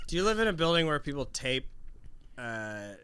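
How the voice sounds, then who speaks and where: A man's voice: a little high, very animated, moderate pace, bright, forceful tone, smooth, no room sound, shouting. A man, a comedian guest on a podcast, chatting casually and grumbling in a self-deprecating way. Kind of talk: casual chat. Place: podcast studio.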